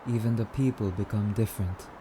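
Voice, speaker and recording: A man's voice: on the low side, fairly even intonation, a little fast, over music, lightly acted. A man playing a courtly, wistful male vampire in an ASMR roleplay, speaking in a musing, slightly archaic, haughty yet polite manner. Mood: dreamy, musing. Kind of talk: ASMR roleplay monologue addressed to listener.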